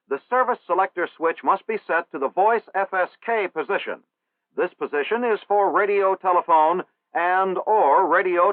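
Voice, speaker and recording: A man reads a scripted training film narration in a formal, measured way. His voice sits fairly high, and the sound is dry. It comes through a thin, tinny 1960s film soundtrack. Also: no echo.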